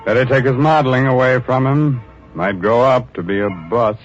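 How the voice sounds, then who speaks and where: A man hosting a 1940s radio horror show, delivering sly, mocking patter with drawn-out words and chuckles. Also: mid-range voice, faint music behind. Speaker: show host. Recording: dull old radio recording.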